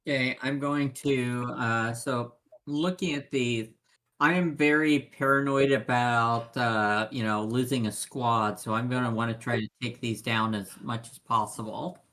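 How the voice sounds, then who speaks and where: Hesitant player with a mid-pitched voice. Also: steady tone, unhurried, fairly bright tone. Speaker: tabletop game player. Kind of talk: casual game chat.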